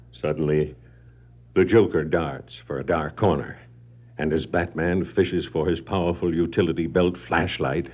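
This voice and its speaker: A man narrating a scripted superhero drama in 1960s radio style, suspenseful, with deliberate dramatic pauses. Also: low-pitched, fairly even intonation, slightly rough. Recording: dull 1960s vinyl record sound.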